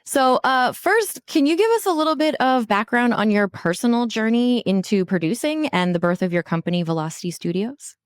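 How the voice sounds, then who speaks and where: A woman's voice: on the high side, animated, brisk, few pauses, smooth, dry recording. A woman hosting a podcast interview, introducing her guest and asking questions.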